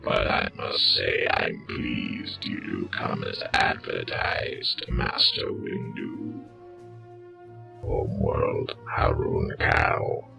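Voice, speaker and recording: Super deep crackly robot merc, cold n smug, drags out every word, music behind. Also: male voice, huge pitch swings, heavily acted. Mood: sardonic. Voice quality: gravelly, growling robotic voice. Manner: slow, deliberate, sardonic. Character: arrogant mercenary battle droid commander, cold and taunting. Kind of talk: comic dub voice acting.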